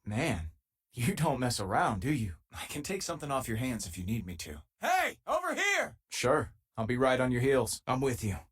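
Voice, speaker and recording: Husky, easygoing space crewmate. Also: male voice, medium pitch, wildly swooping pitch, moderate pace, fairly bright tone, exaggerated voice. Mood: urgent, shouting. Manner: casual, conversational, dryly joking. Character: easygoing, wry male spacefaring crewmate, loyal and self-deprecating. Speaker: game companion character. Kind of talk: video game voice lines, naturalistic acting.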